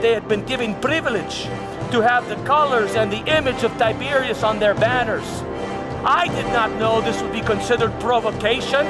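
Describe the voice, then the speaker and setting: Man acting proud Roman governor, exasperated, high male voice, halting storytelling, theatrical monologue, long hall reverb. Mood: defiant, exasperated. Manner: halting storyteller, repeating words for emphasis. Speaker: church dramatist performing a monologue. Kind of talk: theatrical first-person dramatic monologue. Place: church hall before an audience. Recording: echoey church hall, music behind.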